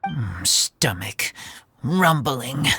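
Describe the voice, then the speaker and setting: Slow, halting feral boy. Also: mid-range voice, big pitch swings, gravelly, bright, forceful tone, a little hiss or hum, exaggerated voice. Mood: subdued. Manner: halting, broken short phrases. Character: shy feral wild boy of few words. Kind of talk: video game voice acting.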